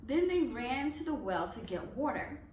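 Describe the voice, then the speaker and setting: A woman reads a children's storybook aloud in a high, very lively voice that rises and falls widely. She gives phrases deliberate, expressive emphasis. The recording is roomy and echoey. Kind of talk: expressive read-aloud narration of a children's picture book. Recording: roomy echo, distant mic, faint noise.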